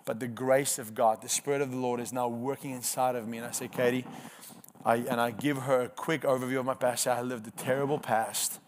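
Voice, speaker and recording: A man's voice: mid-range voice, steady tone, brisk, slight background noise. A man in his thirties with an Afrikaans accent and Afrikaans as his first language, preaching a personal testimony in an earnest, emphatic storytelling way. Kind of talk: sermon testimony.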